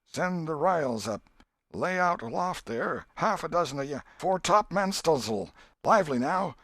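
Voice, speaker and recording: Boy pirate captain played by a male narrator: raised pitch, grand theatrical commands, storybook adventure, dry recording. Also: animated, measured pace, a bit bright, dry recording, full character voice. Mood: commanding, rousing. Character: bold adolescent boy playing pirate captain. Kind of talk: audiobook character acting.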